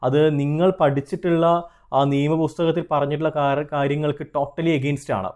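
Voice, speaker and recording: Male Malayali consultant-host, slightly high but soft-edged mellow voice, earnest explanatory delivery with English terms mixed in. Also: flat delivery, moderate pace. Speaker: trademark consultant and video host. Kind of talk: explanatory advice straight to viewers.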